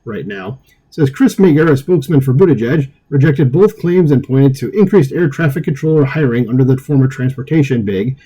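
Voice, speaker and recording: A man's voice: mid-range voice, lively intonation, brisk, dark tone, breathy edge, rough recording. A man reading a news article aloud at home and breaking in with sarcastic comments, stumbling over words now and then. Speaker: political commentary host.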